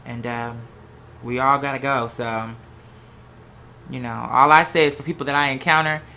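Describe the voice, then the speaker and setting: An American host talking in a casual, unscripted monologue, with an animated, sassy, slangy way of telling stories. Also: medium pitch, moderate pace, creaky, slight background noise. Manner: animated, sassy, slangy storytelling with acted-out quotes. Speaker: host of a gossip and commentary show.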